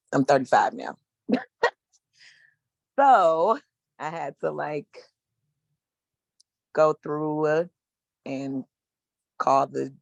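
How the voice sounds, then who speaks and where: A man in his thirties giving a frank, casual personal testimony as a panelist, with slangy speech and hesitant pauses. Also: medium pitch, gravelly, no room sound. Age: 30s.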